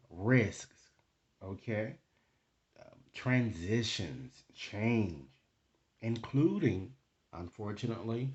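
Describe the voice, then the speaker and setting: Low-voiced man giving a card reading. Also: darkish tone, dull audio. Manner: deliberate, formal phrasing with frequent checking 'okay?'. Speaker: tarot card reader and channel host. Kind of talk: conversational card reading addressed to viewers.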